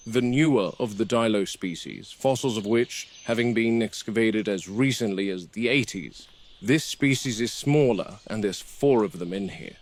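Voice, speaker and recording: An actor playing a watchful dinosaur park game warden, narrating a found-footage video log in a measured, matter-of-fact way. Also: male voice, fairly low pitch, lightly acted.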